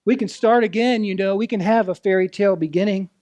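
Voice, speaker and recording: High-pitched man preaching a sermon. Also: darkish tone, no echo. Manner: earnest, rhetorical questions, deliberate dramatic phrasing. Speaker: preacher, ministerial intern. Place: church service, speaking to a congregation.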